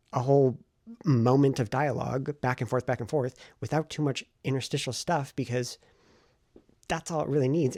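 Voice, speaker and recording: A man with a gravelly, husky voice talks casually, as if to a vlog camera. He sounds reflective and thinks aloud as he goes, and the recording is dry and close. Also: medium pitch, a little mellow, no echo. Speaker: vlogger and novelist.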